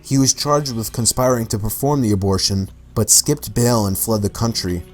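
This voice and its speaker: A man's voice: on the low side, steady tone, moderate pace, soft background music. A man delivering scripted documentary-style narration about real mysteries. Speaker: video narrator.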